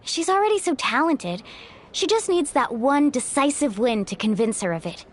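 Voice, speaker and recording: A woman's voice: high-pitched, lively intonation, normal speed. A woman voicing a confident, playful, sisterly young female warrior in a video game. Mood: warmly supportive. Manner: breezy, playful, self-assured. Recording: faint music behind. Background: faint music.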